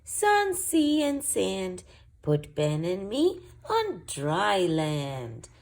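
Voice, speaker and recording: A woman voicing an excitable, kind young fairy princess in a storybook, with exclamatory, sing-song delivery. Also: mid-range voice, drawn-out words, full character voice. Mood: calling out.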